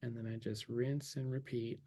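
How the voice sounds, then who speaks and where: A man's voice: mid-range voice, fairly even intonation, normal speed, mellow, breathy edge. A man teaching a watercolor demonstration, thinking aloud as he paints, his voice a little worn from losing it. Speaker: painting instructor.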